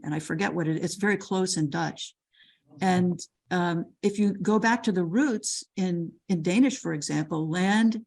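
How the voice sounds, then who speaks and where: A woman's voice: medium pitch, a little mellow. An older woman lecturer answering a question on a video call, thoughtful and full of restarts and repeated words. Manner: thoughtful, with frequent restarts and repetitions.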